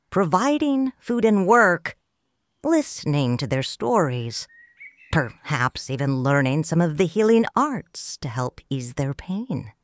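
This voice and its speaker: A woman's voice: low voice, no echo. A woman narrating a romance audio drama in first person, tender and reflective. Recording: dry studio voice with soft music.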